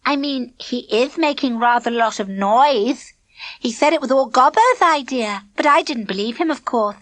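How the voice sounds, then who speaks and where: A woman's voice: high-pitched, lively intonation, fairly bright tone, no room sound, voice pushed up. A woman voicing a smug, sugary tattletale schoolgirl who drags out her words. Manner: sugary, smirking, drawn-out.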